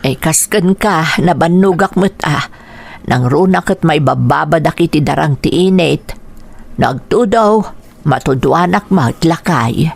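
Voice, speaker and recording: A woman's voice: deep voice, lively intonation, a little slow, gravelly, exaggerated voice. A woman acting in a radio drama as a tired, hardworking, devoted middle-aged wife and mother with an Ilocano accent. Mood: weary, tender.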